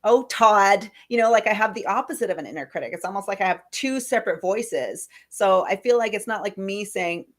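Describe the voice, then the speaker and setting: A woman's voice: mid-range voice, a little fast, few pauses. A woman hosting an interview, chatting eagerly and laughing often, with a cold. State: has a cold. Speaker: podcast host. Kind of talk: casual interview chat.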